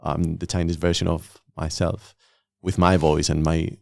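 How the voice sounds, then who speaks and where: A man hosting a podcast and interviewing guests in a casual, conversational way, with a gravelly voice. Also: low voice, flat delivery, moderate pace, a little mellow, no room sound.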